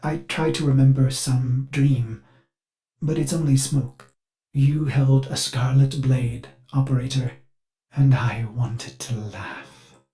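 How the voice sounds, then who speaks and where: An actor playing a haunted, devoted machine mind with a warrior's past in a theatrical video game monologue. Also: male voice, medium pitch, fairly even intonation, warm tone, natural voice. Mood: tender, wistful. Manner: slow, deliberate, theatrical storytelling. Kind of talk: dramatic scripted game monologue.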